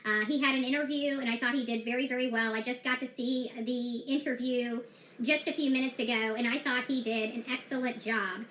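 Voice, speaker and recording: Woman commentator, high bright voice, blunt and defiant, loose off-the-cuff delivery, echoey room. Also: a little hiss or hum. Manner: defiant and blunt, with many fillers and restarts. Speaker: true crime commentator. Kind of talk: casual talk to viewers.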